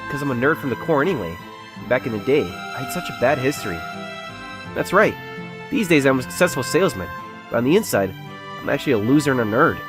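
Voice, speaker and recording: A man's voice: medium pitch, sing-song, fast, music in the background, lightly acted. A man playing an earnest, self-doubting young salesman who secretly feels like a nerd, narrating his own story in a comic dub. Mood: confiding, wistful. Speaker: narrator and lead character of a romance comic dub. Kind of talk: first-person dramatised narration.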